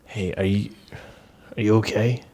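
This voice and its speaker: Man playing a tentative, low-voiced male friend. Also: steady tone, darkish tone. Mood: consoling. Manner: halting, tentative. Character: Marco, an awkward, gently consoling male friend.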